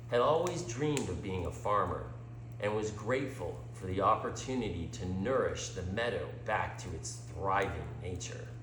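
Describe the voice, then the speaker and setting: A man reading a scripted story aloud in a deliberate, dramatic narrating style with pauses. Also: lowish voice, a bit bright. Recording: roomy echo with clear background hum.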